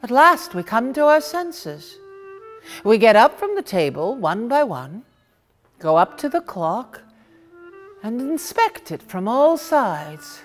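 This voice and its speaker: A woman reading a short story as theatrical narration, dramatic, with emphatic pauses. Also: on the high side, big pitch swings, faint music behind. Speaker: reader of short stories.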